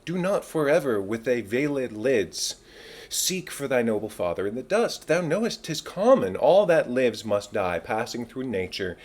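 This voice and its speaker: Man acting a gently pleading queen mother. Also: mid-range voice, sing-song, moderate pace. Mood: gently reproving. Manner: formal, entreating. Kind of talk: dramatic Shakespeare reading.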